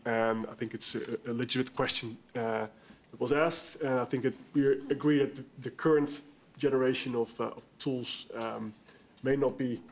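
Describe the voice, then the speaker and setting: A man moderating a panel, measured, summing up before handing over to the next speaker. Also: medium pitch, a little hiss or hum. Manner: even, measured, sums up.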